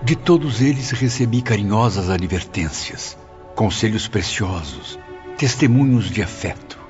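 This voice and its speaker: Actor as low-voiced, reflective male memoirist. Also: lively intonation, darkish tone. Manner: solemn, literary, measured. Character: reflective man narrating his afterlife memoir. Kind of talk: scripted first-person audiobook narration. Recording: dull lo-fi audio over faint music.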